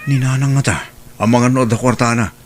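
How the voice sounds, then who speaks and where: A man playing a relative airing family grievances in an expressive Filipino radio drama style. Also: mid-range voice, quick pace, slight background noise, natural voice. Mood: grumbling. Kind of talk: Ilocano radio drama acting.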